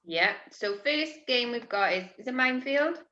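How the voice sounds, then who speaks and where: A woman's voice: on the high side, lively intonation, normal speed, sharp tone. A woman co-presenting a training webinar, chatting in an upbeat way. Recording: dull lo-fi audio.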